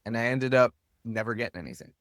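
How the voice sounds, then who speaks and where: A man's voice: medium pitch, fast, fairly bright tone, dry recording, clean studio sound. An American man talking candidly and colloquially as a podcast guest, telling stories casually and swearing now and then. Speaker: podcast guest, soccer club owner and coach. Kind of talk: casual storytelling in an interview.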